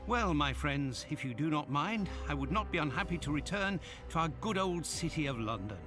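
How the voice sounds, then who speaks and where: A male actor voices a genteel, fussy companion to a detective in a video game. His pitch is a little high and swings expressively as he speaks politely. Clear music runs underneath. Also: moderate pace, close to an everyday voice. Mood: relieved, polite. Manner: polite, expressive, slightly fretful. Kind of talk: video game cutscene acting.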